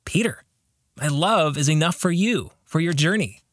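Guy reading as god answering a prayer, fatherly, calm and reassuring, dry close sound, storybook reading. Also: a little high, normal speed, dry recording. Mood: tender, reassuring. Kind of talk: audiobook-style novel reading.

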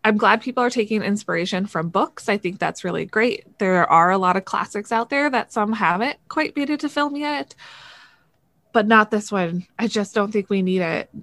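A woman's voice: medium pitch, fairly bright tone, no echo. A woman co-hosting a podcast in an informal, chatty style. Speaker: podcast co-host. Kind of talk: casual chat.

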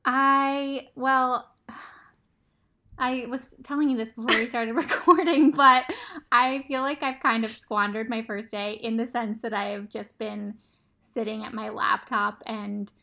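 Slightly raspy woman, relaxed guest. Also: fairly high pitch, slow. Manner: relaxed, reflective, with many fillers. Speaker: podcast guest. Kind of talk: casual chat.

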